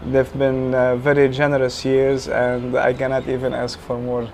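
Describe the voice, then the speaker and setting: Man, Lebanese accent, earnest, talkative, lots of fillers, even pitch, faint background noise. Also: moderate pace. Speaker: real estate businessman, guest. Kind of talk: casual interview.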